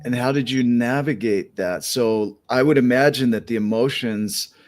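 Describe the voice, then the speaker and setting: A man's voice: mid-range voice, normal speed. A man hosting an interview, asking reflective questions in a casual way with exclamations. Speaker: podcast host. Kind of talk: conversational interview.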